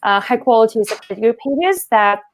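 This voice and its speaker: A woman in her thirties speaking as a guest on a video call, eager and slightly halting as she explains. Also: a little high, animated, no echo. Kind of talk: casual video call chat. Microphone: earphone mic on video call.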